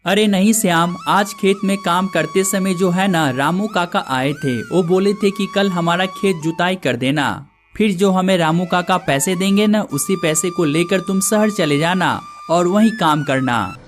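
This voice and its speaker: A man's voice: high-pitched, a little slow, few pauses, soft background music. A man voicing the brothers and villagers of a cartoon story in plain conversational dialogue.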